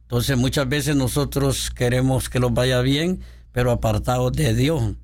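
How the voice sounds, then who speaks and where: Slow, halting pastor. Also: male voice, medium pitch, a little mellow. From Honduras. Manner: slow, halting, devout, many fillers. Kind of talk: radio guest testimony. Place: radio studio.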